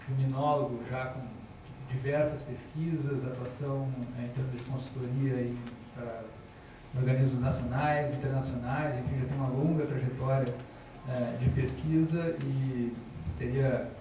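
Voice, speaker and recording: A man's voice: medium pitch, warm tone. A man moderating an academic panel, introducing the speakers with hesitant fillers and self-corrections. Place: university auditorium. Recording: echoey lecture hall from far off, noisy.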